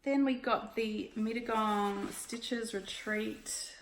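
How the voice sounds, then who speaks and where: Croaky Australian woman, chatty and roomy. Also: on the high side, fairly even intonation, takes it slowly, a little hiss or hum. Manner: chatty, upbeat, frequent ums and little laughs. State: hoarse, croaky voice. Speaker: cross-stitch vlogger. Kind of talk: casual show-and-tell recap.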